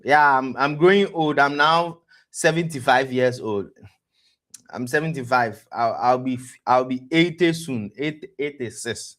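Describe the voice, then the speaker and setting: A man's voice: mid-range voice, unhurried, fairly bright tone. West African man teaching a trading lesson. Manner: quizzes listeners with rhetorical "what?" prompts; repeats words and restarts phrases. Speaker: trading educator, stream host. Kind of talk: live-streamed trading lesson.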